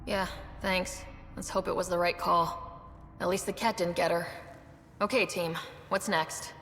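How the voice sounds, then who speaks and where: A woman's voice: fairly low pitch, steady tone, unhurried, fairly bright tone. Young female team leader, curious, decisive, wry, game dialogue, reverberant space, faint music. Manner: casual, wry, direct. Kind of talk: video game voice acting.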